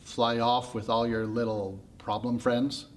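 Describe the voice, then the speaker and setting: A stubborn, curious heroine of a storybook myth, put on by a male storyteller. She is blunt and insistent, and draws her words out slowly and deliberately. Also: mid-range voice, level tone, a little hiss or hum, voice pushed down, natural voice. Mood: gently curious.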